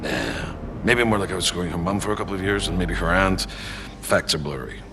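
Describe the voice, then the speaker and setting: An actor playing a flippant, blunt older comrade in a video game cutscene. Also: male voice, low-pitched, a little fast, noisy background.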